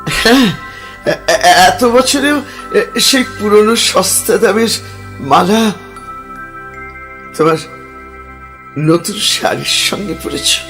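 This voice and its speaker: Man voicing a stammering young lover, lively pitch, slow halting delivery, music under. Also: exaggerated voice. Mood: tender. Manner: tender, hesitant, stammering. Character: young man reliving past life as lover. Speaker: actor. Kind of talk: Bengali radio drama acting.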